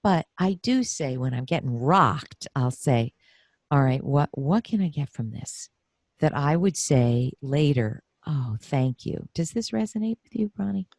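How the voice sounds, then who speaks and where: Emphatic woman, low-pitched host. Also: expressive, a little slow, vocal fry, darkish tone, no room sound. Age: middle-aged. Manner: emphatic, effusive. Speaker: podcast host. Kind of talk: conversational interview.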